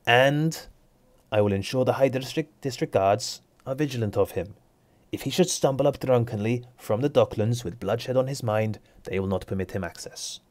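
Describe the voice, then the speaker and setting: A man voicing a stern aristocratic lord who passes judgment in a measured, authoritative way. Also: medium pitch, no room sound, close to an everyday voice. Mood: reassuring. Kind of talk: tabletop roleplay character voice.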